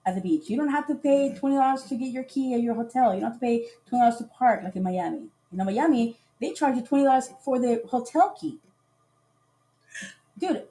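A woman's voice: a little high, mellow, rough recording. A woman from Costa Rica, a podcast guest, chatting casually on a livestream and acting out the dialogue in her stories. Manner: chatty storyteller who acts out quoted dialogue.

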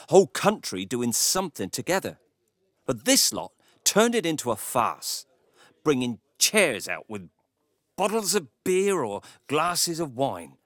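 A man's voice: mid-range voice, fairly bright tone, no echo, studio quality. An actor playing a grumpy, reserved taxi driver who tells his story in a wry, confiding way in an audio drama monologue. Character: grumpy, reserved Cornish male taxi driver, reluctant local hero.

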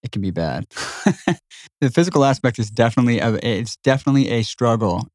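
A man's voice: medium pitch, lively intonation, brisk, darkish tone, dry recording, studio quality. A man hosting a podcast, chatting casually and agreeably with his guest. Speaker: podcast host.

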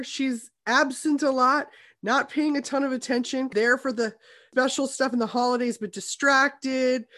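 A woman's voice: high-pitched, a bit bright. A woman co-hosting a podcast, giving casual, opinionated film analysis with dry humour. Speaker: podcast co-host.

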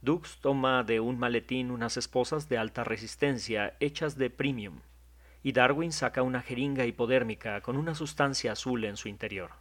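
A man narrating an audio drama in a measured, descriptive way. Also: mid-range voice.

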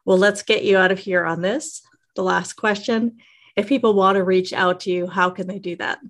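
A woman's voice: mid-range voice, steady tone, normal speed, a bit bright. A woman acting as guest host of a podcast, interviewing in a conversational style.

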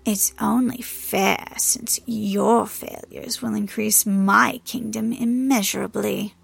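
A woman playing a cruel, menacing goddess of the dead in an audiobook, with a raspy, whispery hiss and sardonic delivery. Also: on the high side, animated, heavily acted. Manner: sneering, sardonic, drawn-out menace.

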